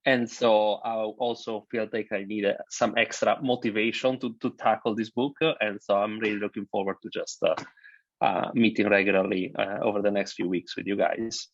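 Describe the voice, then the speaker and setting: A man's voice: on the low side, steady tone, normal speed, no echo. A man with an Italian accent introducing himself on a video call, hesitant and full of "uh" fillers. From Italy. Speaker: book club member introducing himself. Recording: dry, dull lo-fi call audio.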